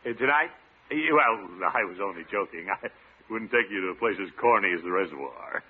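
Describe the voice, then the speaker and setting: An actor playing a flustered, pompous middle-aged American bachelor in a radio situation comedy, with hesitant, blustering delivery. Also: male voice, fairly low pitch, animated, brisk, lightly acted. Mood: nervously flirting. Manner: hesitant, blustering, nervous chuckles. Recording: tinny, noisy old radio broadcast recording.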